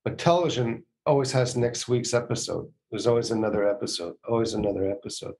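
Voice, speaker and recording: A man's voice: mid-range voice, quick pace, vocal fry, a little mellow. A middle-aged man from Canada talking casually and reflectively as a guest on a video call, repeating phrases for emphasis. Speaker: guest, production executive. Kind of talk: casual reflective interview talk. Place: indoor room on a video call.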